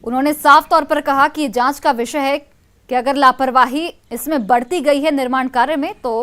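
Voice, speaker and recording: A woman's voice: sits high, steady tone, moderate pace, fairly bright tone. A woman news anchor delivering breaking news in an emphatic, urgent way, repeating key phrases. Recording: music bed under the voice. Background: news music.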